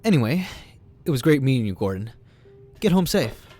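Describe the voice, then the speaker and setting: An actor playing a calm, measured Republic official who speaks in a dry, even way. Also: male voice, medium pitch, soft background music. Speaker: official, son of the corporate boss. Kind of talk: fan audio drama acting.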